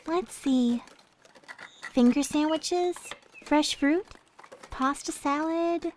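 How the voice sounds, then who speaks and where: High, sweet-voiced gentle maid, acted by a woman. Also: drawn-out words, a little hiss or hum. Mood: cheerful, tender. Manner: soft, sweet, tender, hesitant when confiding. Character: gentle, devoted young maid with a sorrowful past. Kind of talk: ASMR roleplay.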